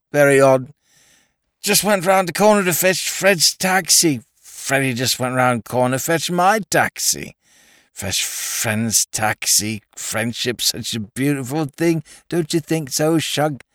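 A man's voice: fairly high pitch, lively intonation, slow, a bit bright, no echo, clean studio sound, voice pushed up. A man voicing a drunk aristocratic young Englishman, slurring and rambling cheerfully.

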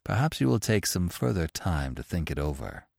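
A man reading the part of a stern, reserved male author who speaks curtly and in measured phrases. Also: low-pitched, sing-song, vocal fry, darkish tone, slightly rough, no echo, studio quality, exaggerated voice. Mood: cool. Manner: curt, measured.

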